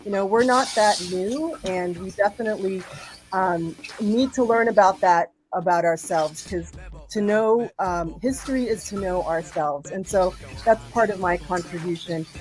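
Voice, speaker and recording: A woman's voice: medium pitch, a little slow, low-quality recording. Chatty woman professor guest, lots of ums and likes, bit of music in the back. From Boston area, USA. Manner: chatty, many fillers, asks the audience questions. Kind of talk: casual live interview chat.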